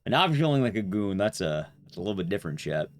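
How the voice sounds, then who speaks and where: A man's voice: fairly low pitch, big pitch swings, rapid-fire. A man streaming a game, giving casual, rambling commentary to his chat with playful asides. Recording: loud music under the voice. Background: game and stream music.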